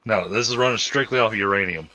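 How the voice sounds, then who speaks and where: A man's voice: on the low side, fast talker, pushed and bright, dry recording, low-quality recording. A man with a Southern American accent, a streamer casually chatting while playing, explaining at length with plenty of fillers. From Southern United States. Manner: easygoing, rambling explanations with many fillers. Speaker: streamer hosting a multiplayer game. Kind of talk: casual game chat.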